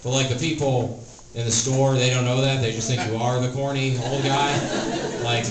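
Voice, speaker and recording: A thirty-year-old man performing stand-up in a comedy club, his delivery dry and halting, padded with "uh" and "like". He is recorded from some distance, so the sound is roomy, and audience laughter and noise are heard clearly behind him. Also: medium pitch, flat delivery. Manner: dry, hesitant delivery with many "uh" and "like". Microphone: stage microphone.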